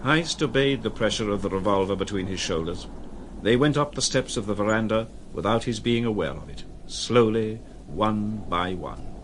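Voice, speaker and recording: A man reads literary narration in a low voice. His delivery is solemn, measured and dramatic, with faint noise underneath. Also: lively intonation.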